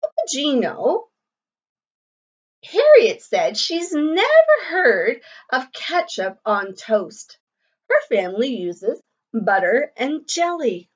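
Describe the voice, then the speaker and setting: A woman's voice: sits high, wildly swooping pitch, slow, exaggerated voice. A woman reading the part of an earnest little storybook girl in a simple manner, on slightly dull audio. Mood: concerned.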